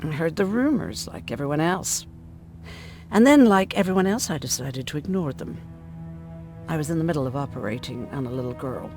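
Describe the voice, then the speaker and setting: Weary, low-key hardened woman doctor. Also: lively intonation, fairly quick, a little mellow, faint music behind. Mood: guarded, dry. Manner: bitter, dryly ironic, matter-of-fact. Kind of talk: video game drama acting.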